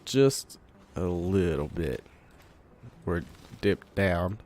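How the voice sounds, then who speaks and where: A man with a congested voice from a cold, giving relaxed let's play commentary, thinking aloud while he plays. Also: sits low, drawn-out words, a little hiss or hum. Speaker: gamer.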